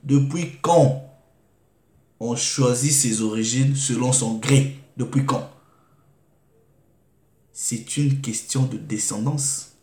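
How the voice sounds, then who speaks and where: A man preaching, reading scripture slowly and deliberately and asking rhetorical questions. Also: medium pitch, a little mellow. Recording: echoey room.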